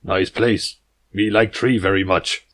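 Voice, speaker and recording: Man putting on a gruff, terse muscular stallion. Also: low-pitched, steady tone, measured pace, fairly bright tone. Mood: terse, pleased. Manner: terse caveman-like broken speech. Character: gruff, terse muscular jungle stallion, broken-English author.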